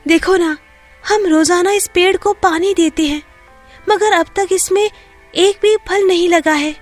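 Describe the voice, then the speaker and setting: A woman's voice: very high voice, a little slow, faint music behind. A woman voicing a poor, despairing younger sister in a storybook tale. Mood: plaintive.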